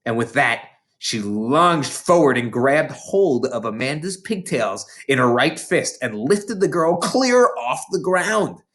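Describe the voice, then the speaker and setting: Very animated storybook reader. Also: medium pitch, slow, hardly any pauses. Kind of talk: expressive read-aloud narration of a children's novel.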